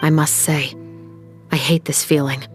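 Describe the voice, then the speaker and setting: An actress playing a composed young female musketeer captain in a video game, formal and measured like an officer on duty. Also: low voice, level tone, faint music behind. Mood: stern.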